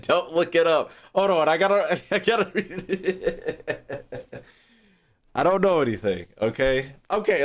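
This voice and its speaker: A young American man streaming a game, chatting casually with slang and swearing, often rambling and repeating himself. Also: sits high. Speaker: gaming streamer. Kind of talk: casual, profane game reaction chat.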